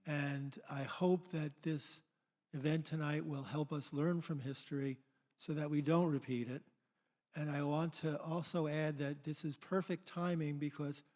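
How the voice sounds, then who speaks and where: A man making a measured, earnest comment from the audience at a public event. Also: fairly high pitch. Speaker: audience member. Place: large indoor hall at a live event. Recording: echoey hall with long reverb.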